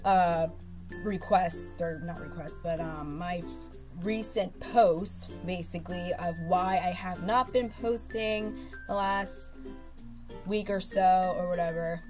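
A woman's voice: on the low side, slow pace, music in the background. Stuffy-nosed young woman vlogging from her bedroom. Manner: rambling, lots of fillers and restarts. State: congested, stuffy from a cold. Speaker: beauty vlogger. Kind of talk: casual haul vlog to camera. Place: bedroom at home, indoors. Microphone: webcam at arm's length.

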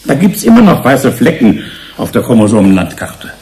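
An actor playing a defensive genetics professor in a German radio drama, brusque and matter-of-fact. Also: male voice, mid-range voice, wildly swooping pitch, normal speed, darkish tone. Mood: pondering. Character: male genetics professor, dry, defensive, unsentimental scientist. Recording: faint background noise.

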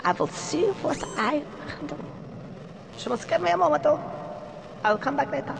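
A man's voice: extremely high pitch, animated, moderate pace, creaky, noisy background, heavily acted. A man voicing a cowering, foul-mouthed weasel scientist in a video game, stammering and grumbling with a German accent. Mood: nervous, servile.

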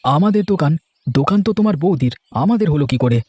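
A man's voice: fairly high pitch, animated, quick pace, darkish tone. A man playing an eager, humble young helper at a village eatery in a cartoon, speaking deferentially. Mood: eager, friendly. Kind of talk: cartoon voice acting.

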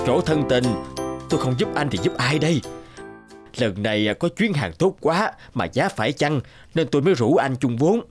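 A man voicing a wily, smooth-talking traveling merchant in an animated folk tale. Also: on the high side, moderate pace, soft background music, lightly acted. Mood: ingratiating.

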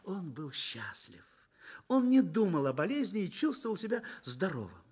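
A man reading scripted literary narration for a Soviet radio drama in an expressive, measured storytelling manner. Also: sits high, slightly rough.

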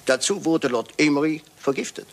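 A man's voice: fairly high pitch, animated, moderate pace. A man playing a shrewd, courteous detective with a dryly ironic, probing manner in a German radio crime drama. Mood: politely probing. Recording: hissy, noisy old radio play recording.

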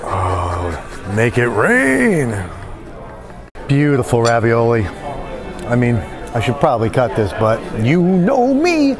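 Lively man vlogging a restaurant meal. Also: medium pitch, slow, music in the background. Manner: enthusiastic, jokey commentary while eating. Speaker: food vlogger. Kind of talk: casual dining vlog. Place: fine-dining restaurant indoors. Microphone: phone at arm's length.

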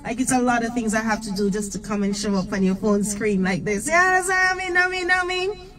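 A woman in her thirties, a gospel singer, chatting live with viewers from a parked car into a handheld mic, lively and greeting people by name. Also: on the high side, a little fast, recorded from a distance. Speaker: gospel singer hosting a morning livestream. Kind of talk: casual live chat between songs. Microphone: handheld microphone.

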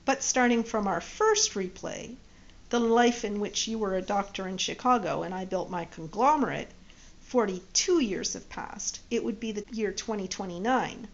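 A woman's voice: mid-range voice, moderate pace, natural voice. Woman reading an earnest middle-aged man. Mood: thoughtful. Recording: dull lo-fi audio.

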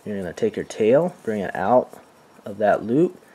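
A man calmly talking through how to tie knots step by step, filmed indoors with the camera looking down at his hands. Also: lowish voice, slightly rough, poor audio. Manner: calm, unhurried, with soft fillers. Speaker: knot-tying instructor. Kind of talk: step-by-step demonstration. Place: indoors, filming hands over a carpeted floor.

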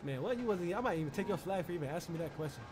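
High-pitched, rapid-talking man in street roleplay. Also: expressive, background noise. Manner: slangy, brash, repeats phrases. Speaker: streamer roleplaying in an online game. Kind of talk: improvised street roleplay banter in a game. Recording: music behind the voice. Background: music.

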